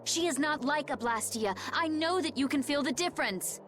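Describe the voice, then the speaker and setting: High-voiced, composed young female warrior. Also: a bit bright, a little hiss or hum. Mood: earnest resolve. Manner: measured, poised, earnest.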